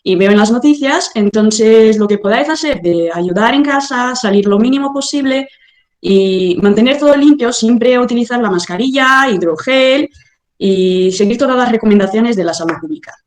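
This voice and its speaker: A woman's voice: a little high, animated, moderate pace. Chatty Brazilian woman on an echoey call. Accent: Brazilian Portuguese. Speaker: interview guest. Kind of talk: casual video call interview.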